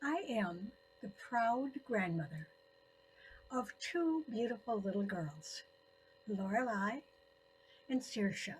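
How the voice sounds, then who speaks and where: An elderly woman narrating her own scripted personal story in deliberate phrases with frequent pauses. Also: mid-range voice, lively intonation, slight background noise, rough recording. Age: 70s or older.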